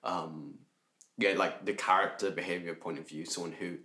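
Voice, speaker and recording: A young man co-hosting a casual podcast chat, lively and questioning, with many fillers. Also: low voice, normal speed. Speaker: podcast co-host. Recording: echoey room.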